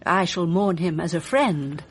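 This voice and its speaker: A woman's voice: fairly low pitch, lively intonation, a little slow, husky. A woman playing a poised, evasive film actress in a radio mystery drama, polite, guarded and arch. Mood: guarded, cool.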